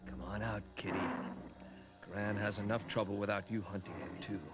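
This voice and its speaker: Man acting an earnest, low-voiced young hero. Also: flat delivery, moderate pace, lightly acted. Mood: coaxing, determined. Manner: earnest, musing aloud. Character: earnest young male hero, gentle but determined. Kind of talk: animated action cartoon acting. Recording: loud music behind.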